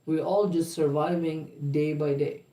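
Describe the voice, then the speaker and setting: Man, earnest, reasons aloud, mellow tone, roomy echo. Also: fairly high pitch, little pitch movement, a little slow. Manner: earnest, reasoning aloud with questions like "right?" and "why?". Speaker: vlog co-host on a livestream. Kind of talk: casual livestream chat. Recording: roomy room sound.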